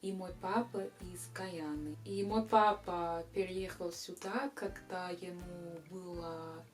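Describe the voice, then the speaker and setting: A young woman from England, a native English speaker, with an English accent, answering viewer questions in a casual vlog and pausing often with hesitant fillers. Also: fairly low pitch, fairly even intonation, faint music behind. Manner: slow, careful learner's speech with frequent hesitations.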